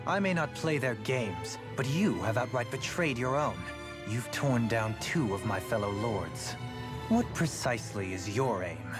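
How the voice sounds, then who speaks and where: An actor playing an aloof, composed lord in a video game, speaking in formal, measured, aristocratic diction. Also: male voice, mid-range voice, lively intonation, natural voice. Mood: cold, stern. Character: aloof, composed male lord of a realm, haughty and cold. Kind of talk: dramatic video game cutscene acting. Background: music.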